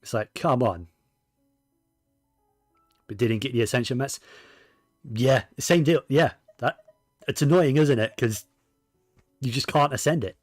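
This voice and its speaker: A man's voice: mid-range voice, unhurried, long pauses, no echo, faint music behind. Rambling man doing casual game commentary, dry sound. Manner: rambling, self-correcting. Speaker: game streamer.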